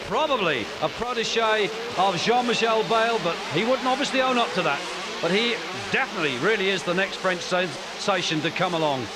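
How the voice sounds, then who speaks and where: High-voiced man calling a motocross race. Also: background noise. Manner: energetic, rising excitement on race calls. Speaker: motocross commentator. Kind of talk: race commentary.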